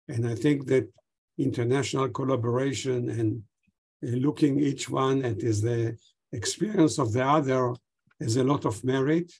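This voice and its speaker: Older man, husky and mellow. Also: mid-range voice, fairly even intonation, normal speed. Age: older, 60s or above. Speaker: fintech expert giving opening remarks. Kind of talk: formal webinar remarks. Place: indoors, on a video call.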